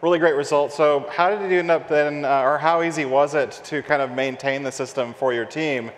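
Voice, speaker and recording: A man's voice: on the high side, steady tone, a little fast, long reverb tail, slight background noise. A man in his forties hosts an onstage tech interview with an upbeat, affirming manner, using a headset mic. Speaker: host. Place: large conference stage before an audience.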